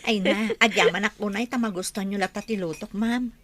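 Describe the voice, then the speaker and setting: Casting: actress as cheerful, deferential house cook, Ilocano accent, radio play, recorded with clear background noise. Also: female voice, medium pitch, steady tone, brisk, a bit bright, close to an everyday voice. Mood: humble, cheerful. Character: humble, cheerful household cook eager to please. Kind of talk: radio drama acting.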